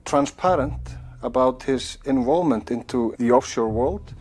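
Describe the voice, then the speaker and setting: A man with an Icelandic accent, an investigative journalist, speaking thoughtfully in an interview with frequent pauses. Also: mid-range voice, darkish tone. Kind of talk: documentary interview.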